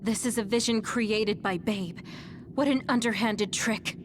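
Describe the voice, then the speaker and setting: A woman's voice: fairly high pitch, unhurried, noisy background, lightly acted. A woman playing a composed, disapproving teammate in an anime-style video game, matter-of-fact in manner.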